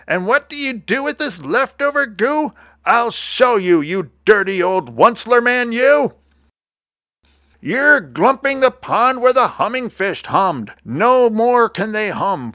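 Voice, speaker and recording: Storybook creature, old and indignant, high-pitched, croaky, scolding, pleading, close dry sound. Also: male voice, sharp tone, no echo, heavily acted.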